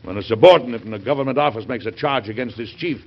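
A man playing an indignant, burdened middle-aged American president in an old radio drama, speaking formally and emphatically with a gravelly, husky voice. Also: mid-range voice, fast talker, slight background noise, lightly acted. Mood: indignant, stern. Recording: muffled vintage radio broadcast.

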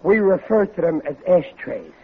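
A man's voice: sits high, sing-song, moderate pace. An actor playing a hapless, nervous working-class husband and father in a 1940s radio comedy, stammering and folksy. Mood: panicky. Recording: dull, noisy old radio recording.

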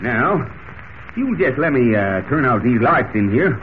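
A wry, easygoing cowboy of old radio drama, with a rustic American Western drawl. His pitch swings about in a lively way, and the recording is tinny and slightly scratchy. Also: a little slow. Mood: wry, compliant. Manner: rustic drawl, colorful exclamations. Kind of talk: 1940s radio acting.

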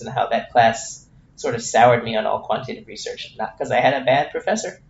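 A man in his thirties talks through his own podcast, candid and self-deprecating, often restarting his thoughts. His voice has a gravelly, fry-tinged edge. The sound is roomy and somewhat dull, like a lo-fi home recording. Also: medium pitch, a little hiss or hum. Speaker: podcast host. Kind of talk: reflective solo podcast monologue.

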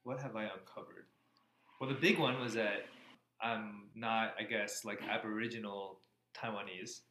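A man's voice: lowish voice, fairly even intonation, unhurried, pushed and bright. An American man in his thirties, answering interview questions in a casual way. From Florida, USA. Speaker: interview guest, cardiology fellow. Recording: echoey room, faint background noise.

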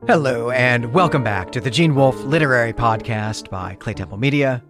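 A man's voice: medium pitch, moderate pace, over music. A man hosting a podcast, recapping a story in a conversational style. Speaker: podcast host.

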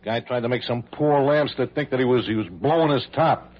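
Man acting a husky, cocky radio-drama crook. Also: mid-range voice, a little fast, close to an everyday voice. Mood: scornful defiance. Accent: American. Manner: slangy, sneering tough-guy talk. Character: cocky uneducated gunman, a defiant adult male crook. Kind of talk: 1940s-50s American radio drama acting. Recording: husky-sounding, dull old radio recording.

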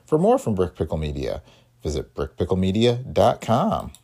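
A man's voice: deep voice, wildly swooping pitch, moderate pace, creaky, warm tone, slightly rough. A man reading a scripted podcast introduction as its host.

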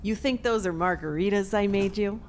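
A woman's voice: medium pitch, moderate pace, darkish tone, a little hiss or hum, lightly acted. An actress playing a secretly murderous young woman who feigns innocence among old friends in an audio drama. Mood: cold, menacing.

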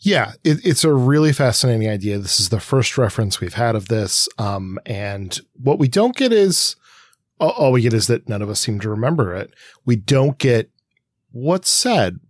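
A man co-hosting a literary podcast, musing aloud in a halting, conversational way and reworking his thoughts as he speaks. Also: mid-range voice, sing-song, no echo. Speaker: podcast co-host. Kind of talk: conversational literary discussion of a comic.

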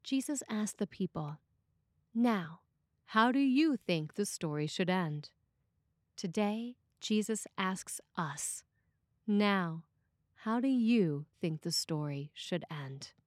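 A woman priest reading a scripted sermon in a measured, deliberate way. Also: mid-range voice, lively intonation, a little mellow, dry recording, clean studio sound.

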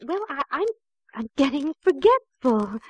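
Lonely well-off widow for vintage radio play; highish, lively pitch, theatrical, fluttery delivery. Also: female voice, unhurried, no echo. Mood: worried. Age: middle-aged. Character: fretful, lonely wealthy 45-year-old widow, trusting and fluttery. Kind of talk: old-time radio drama acting.

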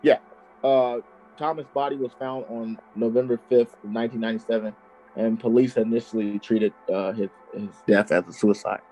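A man's voice: medium pitch, fairly even intonation, moderate pace, mellow, low-quality recording. Laid-back man telling a crime story. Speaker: podcast co-host. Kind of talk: casual true crime chat.

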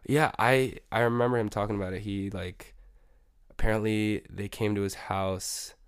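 A man's voice: lowish voice, fairly even intonation, moderate pace, clean tone, dry recording, clean studio sound. A young man, a music producer, chatting casually as an interview guest with a laid-back manner and many fillers. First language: Spanish.

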